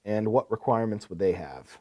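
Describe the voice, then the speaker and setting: A man's voice: on the low side, expressive, brisk, warm tone. A man playing a courteous, attentive equipment salesman in a scripted business phone call, asking probing questions. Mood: attentive, reassuring. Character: courteous, eager male heavy-equipment salesman.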